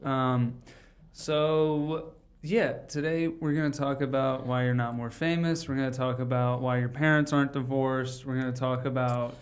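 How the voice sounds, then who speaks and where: A man's voice: mid-range voice, fairly even intonation. Chatty joking podcast host man. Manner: chatty, joking, quick questions. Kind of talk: casual podcast interview. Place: podcast studio.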